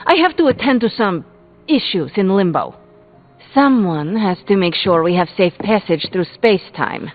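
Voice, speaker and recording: An actress playing a blunt, distrustful young sorceress in a video game, speaking with curt scorn. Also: female voice, mid-range voice, animated, moderate pace, phone-quality sound, lightly acted. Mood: scornful, defiant. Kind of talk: video game character dialogue. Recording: thin band-limited game audio with music behind.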